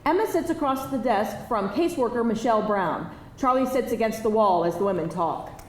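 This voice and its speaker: A woman's voice: fairly high pitch, animated, brisk. Distant, echoey woman narrator reading a script. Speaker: narrator reading stage directions. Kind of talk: scripted narration at live table read. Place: indoor live table read before an audience. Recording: distant mic, echoey room, faint noise.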